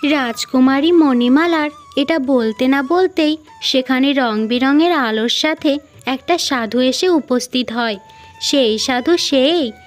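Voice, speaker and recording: A woman reading a scripted fairy tale as the storyteller, with music behind her. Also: high voice. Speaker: storyteller and channel narrator.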